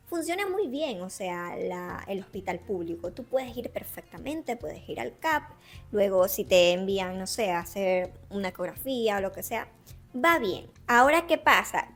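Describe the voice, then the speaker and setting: An Ecuadorian woman from Ecuador chatting casually to camera, explaining with examples and speaking straight to the viewer. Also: mid-range voice. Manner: chatty, gives examples, addresses the viewer directly. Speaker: interviewee sharing her experience. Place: Barcelona.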